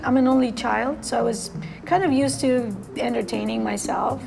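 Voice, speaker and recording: A woman from Argentina talks easily about her work and childhood, with a gravelly fry in her voice. Her voice sits a touch high, and music plays clearly behind her. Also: moderate pace. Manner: chatty, laughs easily. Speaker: cosmetics brand founder. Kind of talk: casual conversation.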